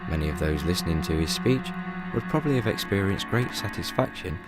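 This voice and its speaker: A man voices an encyclopaedic narrator for a radio comedy. He is deep and dryly measured, reading scripted narration over music. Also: expressive. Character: measured, dry encyclopaedic male narrator, a talking book.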